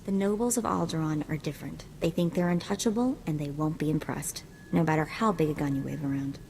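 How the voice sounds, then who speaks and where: An actress playing a chatty, cheerful young sidekick in a video game, talking in a casual, breezy way. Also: female voice, sits low, a little fast, background noise. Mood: briefing, casual. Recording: background music under the voice.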